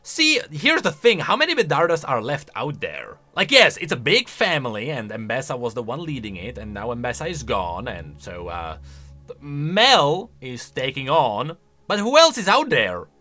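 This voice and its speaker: Male gaming lore commentator: lively swinging pitch, bright forceful tone, conversational and speculative, faint music behind. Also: normal speed. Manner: conversational, speculative, rhetorical questions. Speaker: video game lore commentator. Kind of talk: casual reaction commentary.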